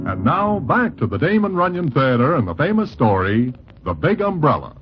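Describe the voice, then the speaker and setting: A man's voice: on the high side, big pitch swings, moderate pace. A man announcing formally in a declamatory 1940s radio style. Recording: muffled vintage 1940s radio recording. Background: loud music.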